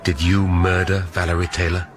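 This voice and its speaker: An actor playing a shrewd police inspector questioning a suspect with dry irony. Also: male voice, low-pitched, flat delivery, moderate pace, slight background noise, close to an everyday voice. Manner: dry, probing.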